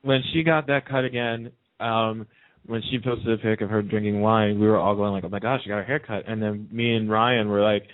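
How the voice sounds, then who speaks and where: Male radio host, lowish voice, gossipy and opinionated casual chat, very narrow phone-like sound. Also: steady tone, fairly quick, no echo. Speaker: radio talk show host. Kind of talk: casual chat about TV soaps.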